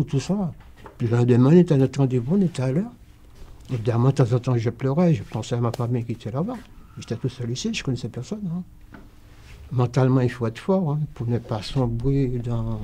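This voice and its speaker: An older man with a mellow, darker voice, speaking slowly and thoughtfully. His reflective tone stays soft and unhurried, with faint noise in the background. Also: mid-range voice. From Martinique. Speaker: interviewee. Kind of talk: reflective personal storytelling.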